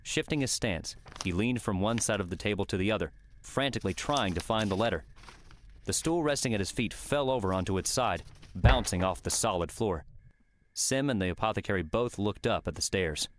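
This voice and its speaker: Low-pitched male audiobook narrator. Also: animated, a little fast, a little hiss or hum. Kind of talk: scripted narration.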